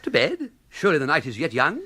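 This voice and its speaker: An actor playing a hapless young upper-class English gentleman in a radio comedy, breezy and exclamatory in period slang. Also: on the high side, wildly swooping pitch, quick pace, exaggerated voice. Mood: polite, uneasy. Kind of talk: radio comedy drama acting.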